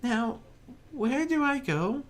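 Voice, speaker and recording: A narrator voicing a timid, gentle female pony who mutters softly and anxiously in a storybook reading. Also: high-pitched, animated, slow, pitched above the performer's own voice. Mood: uncertain. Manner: soft muttering, fretful.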